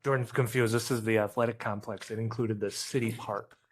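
A man asking blunt, halting questions as a board member in a meeting. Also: on the low side, fairly even intonation.

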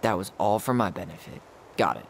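A man's voice: deep voice, animated, fairly quick, gravelly, slight background noise. An actor playing a wry, nostalgic young American man in naturalistic, casual video game dialogue. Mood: wry, teasing. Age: young adult.

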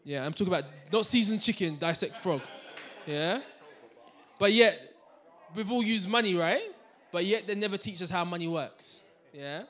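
A man's voice: sits high, normal speed. A man, giving a finance talk to a live audience on a handheld mic and checking in with them all the time. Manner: animated, checks in with the crowd with "right? yeah?". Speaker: finance speaker. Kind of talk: lively seminar talk to an audience. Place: community hall with audience. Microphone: handheld microphone.

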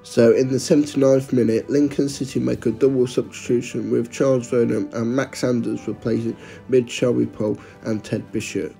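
A man's voice: mid-range voice, flat delivery, moderate pace, vocal fry, hardly any pauses, music in the background. A man narrating his match vlog in a plain, matter-of-fact voice-over, reading out events and results. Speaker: vlogger.

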